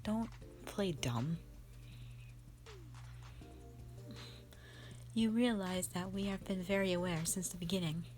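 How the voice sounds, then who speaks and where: A woman playing a strict, commanding mother who leads a warrior band, speaking sternly and deliberately in a roleplay audio drama addressed to the listener. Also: fairly low pitch, a little mellow, soft background music, close to an everyday voice. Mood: impatient, commanding. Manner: stern, deliberate, halting delivery with sighs.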